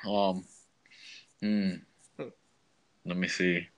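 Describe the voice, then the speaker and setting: A man's voice: deep voice, level tone, extremely slow, smooth. A man co-hosting a podcast, chatting casually in a slangy, blunt way and thinking aloud as he goes. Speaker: podcast co-host. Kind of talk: casual banter, ranting movie review.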